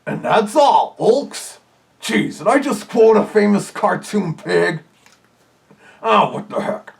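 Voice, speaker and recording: Gravelly man with wry asides. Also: high voice, big pitch swings, slow pace. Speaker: video reviewer. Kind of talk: casual commentary over a read-along tape.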